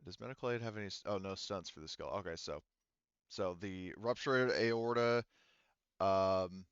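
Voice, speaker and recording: A man working as game master, casually narrating a sci-fi tabletop roleplaying game in a halting, think-aloud way. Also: low-pitched, clear, resonant tone, no echo, lo-fi sound.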